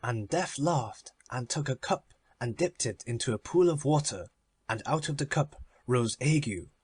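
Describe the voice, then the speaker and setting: A man reading a literary children's story as a measured audiobook narration. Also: mid-range voice, lively intonation, husky, dry recording.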